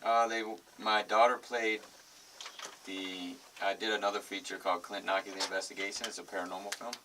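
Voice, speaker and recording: A man's voice: deep voice, flat delivery, brisk, sharp tone. A man talking as a guest about his film, in a chatty, loose way. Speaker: filmmaker guest. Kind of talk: casual interview chat. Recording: distant mic with faint noise.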